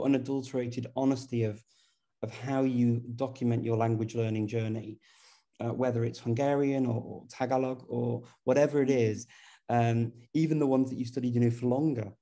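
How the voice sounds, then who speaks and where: Gravelly, dark-voiced man. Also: medium pitch. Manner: friendly, rambling questions with repeated restarts. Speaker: host. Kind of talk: conversational interview.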